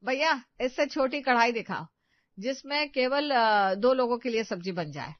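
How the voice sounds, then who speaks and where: A woman's voice: fairly high pitch, animated, moderate pace, no room sound, highs cut off, voice pushed up, natural voice. A woman voicing a bossy village mother-in-law. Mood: scolding. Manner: scolding, instructive, exclaiming.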